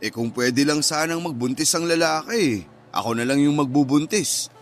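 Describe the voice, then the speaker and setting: A man's voice: fairly high pitch, lively intonation, normal speed, lightly acted. Playful, doting dad played by a man, music underneath. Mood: joking. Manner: playful, coaxing. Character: doting, joking father of a young girl. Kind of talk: radio drama dialogue.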